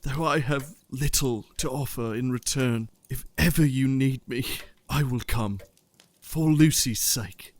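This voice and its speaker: An actor playing an earnest, bereaved young Victorian gentleman, halting and sincere, in an audio drama. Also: mid-range voice, natural voice. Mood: grieving, heartfelt.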